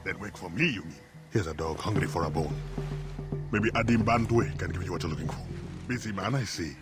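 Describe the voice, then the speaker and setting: An actor playing a brash, suspicious militia boss in a video game, blunt and swaggering. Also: male voice, low voice, a little fast, close to an everyday voice. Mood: scornful. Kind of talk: video game cutscene acting. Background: music.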